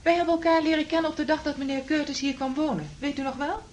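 Woman acting firm landlady in old radio play, highish voice, noisy recording. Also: fairly even intonation, fast talker, natural voice. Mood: firm, puzzled. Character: plain-spoken landlady, firm and unhelpful.

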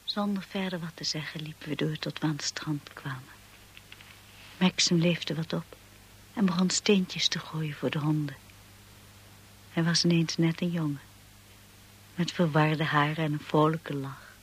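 An actress playing a timid, anxious young wife in a Dutch radio drama, hesitant and devoted, also narrating softly in the first person. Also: female voice, low voice, fairly even intonation, a little slow, pauses often, breathy edge, exaggerated voice. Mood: hushed, reflective narration. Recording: noisy old radio play recording.

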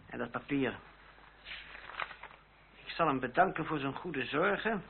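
An actor playing a young lawyer and duellist who is composed, ironic and defiant, in a period radio drama. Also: male voice, fairly high pitch, creaky. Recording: tinny, band-limited old radio recording with hiss.

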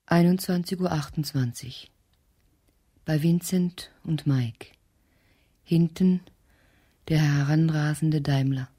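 A woman's voice: deep voice, slow pace, pauses often, dark tone. A woman as a cool, ominous radio play narrator, tersely announcing scenes.

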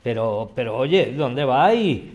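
Un hombre que interpreta a un vecino anciano, gruñón y testarudo, de trato brusco, en una radionovela. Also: male voice, mid-range voice, animated, takes it slowly, a little mellow. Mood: grumpy, dismissive. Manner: brusque, dismissive. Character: grumpy, stubborn elderly male neighbour living alone. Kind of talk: radio drama acting.

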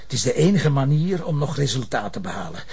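Husky, gravelly Flemish police commissioner. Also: male voice, mid-range voice, sing-song, fairly quick, darkish tone, exaggerated voice. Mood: brooding, urgent. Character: harried, stubborn male police commissioner. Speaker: actor. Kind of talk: naturalistic TV police drama acting.

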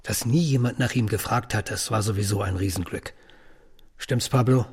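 An actor playing a confident, dismissive male office boss in a radio drama, with a husky voice. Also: fairly low pitch, normal speed, a little mellow. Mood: reassuring.

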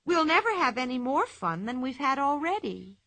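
A cheerful, fearless little girl from a storybook, chirpy and matter-of-fact, as a woman reader voices her. The voice lifts high and sounds bright and sharp, recorded close and dry. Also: big pitch swings, normal speed, no echo, heavily acted.

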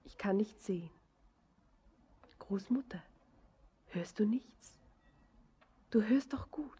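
An actress playing a tender, dreamy young miner's daughter in a poetic radio play, speaking lyrically and often dropping into whispers. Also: female voice, mid-range voice, lively intonation, takes it slowly, mellow, slightly rough, full character voice. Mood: dreamy wonder. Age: young adult.

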